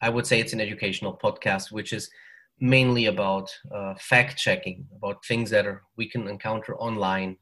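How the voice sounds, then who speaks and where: A man's voice: lowish voice, fairly even intonation, normal speed, sharp tone. A man in his forties with a German accent, a podcast guest talking through his answers with small restarts. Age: 40s. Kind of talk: casual interview chat. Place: hot room at home.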